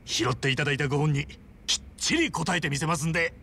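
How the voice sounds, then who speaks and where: An actor playing an earnest, hot-tempered former yakuza hero in a video game, with rough but decent speech. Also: male voice, on the high side, fast talker, bright, forceful tone, slight background noise. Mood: humble, polite. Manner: rough slangy speech, polite to workmates. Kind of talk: dramatic video game voice acting.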